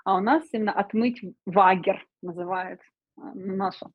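A woman talks loosely with frequent hesitations and quick laughs. Her voice sits mid-range, close to the mic with no room sound. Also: medium pitch, dry recording, narrow, tinny audio. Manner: chatty, laughs often, many fillers. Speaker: guest streamer. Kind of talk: casual interview chat.

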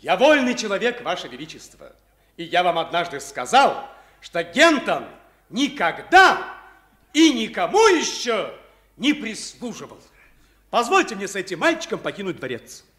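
A man playing a proud, independent gentleman in an old radio play, speaking with theatrical dignity. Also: high voice, big pitch swings, moderate pace. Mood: resolute. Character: proud, independent gentleman free of royal service. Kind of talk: Soviet radio play acting.

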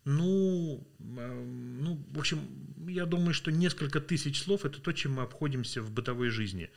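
A man's voice: a little high, moderate pace. A man from Russia, a linguist guest on a podcast, talking thoughtfully with many hesitations and sounding tired. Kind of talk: podcast interview.

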